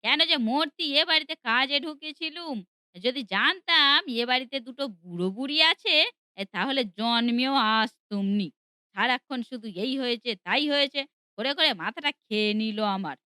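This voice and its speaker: A woman's voice: high voice, moderate pace, pushed and bright, clean tone, no room sound. Woman voicing a snappish grumbling housemaid. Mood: scolding. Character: bad-tempered young housemaid, woman. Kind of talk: cartoon dubbing.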